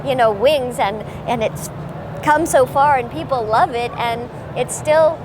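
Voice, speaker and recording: A woman voice actor chatting in an interview, telling stories in a lively way with playful voice bits. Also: high-pitched, a little slow. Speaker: voice actor guest. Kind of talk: casual interview chat. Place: busy convention. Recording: noisy convention floor.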